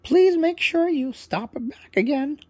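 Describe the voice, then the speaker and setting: A man's voice: extremely high pitch, expressive, slow, darkish tone, no echo, pitched above the performer's own voice, exaggerated voice. A man voicing a cheerful, hospitable male rainbow parrot for a children's bedtime story, eager and welcoming, often repeating his phrases. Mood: friendly.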